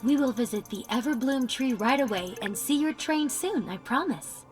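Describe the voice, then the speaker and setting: A woman reading game dialogue aloud in a measured, clear way. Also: sits high, animated, long reverb tail, far from the mic, soft background music.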